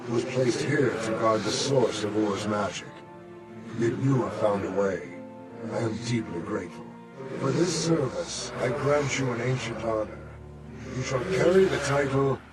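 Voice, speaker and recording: An actor playing a freed spirit king of an ancient land, grateful and solemn, speaking slowly and regally in a game cutscene. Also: mid-range voice, close to an everyday voice, rough recording. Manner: slow, measured, regal. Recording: game audio over loud music.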